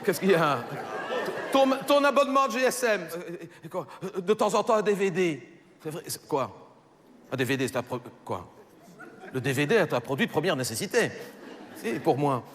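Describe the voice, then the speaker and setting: A man's voice: a little high, expressive, moderate pace, pauses often, long reverb tail, crowd noise, close to an everyday voice. A man voicing a chatty middle-aged housewife with a Belgian French accent, rambling and stammering through self-corrections in a stand-up monologue. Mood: gossipy. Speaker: comedian.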